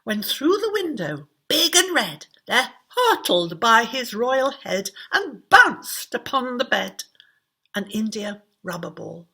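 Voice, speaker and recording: A woman with an English accent reads verse aloud like a storybook. She takes it slowly, with dramatic, measured phrasing. Her mid-range voice has a soft husk to it. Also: fairly high pitch, big pitch swings, breathy edge. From England. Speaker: poetry reader. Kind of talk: expressive storybook verse recitation.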